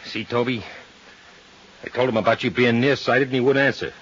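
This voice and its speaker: A man's voice: medium pitch, steady tone, a little fast, slightly rough, close to an everyday voice. Man playing a sneering, needling radio hoodlum, muffled. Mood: mocking, bullying. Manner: mocking, needling, streetwise slang. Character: taunting male hoodlum, sneering ringleader of thug pair. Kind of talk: 1950s radio crime drama acting. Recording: muffled, faintly noisy 1950s radio recording.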